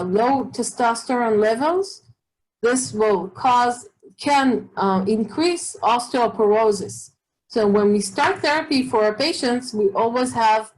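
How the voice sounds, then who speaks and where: A woman's voice: medium pitch, darkish tone, low-quality recording. A middle-aged woman oncologist with an Israeli accent, answering questions in an online talk, hesitant with many fillers and restarts. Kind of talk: expert webinar answering questions.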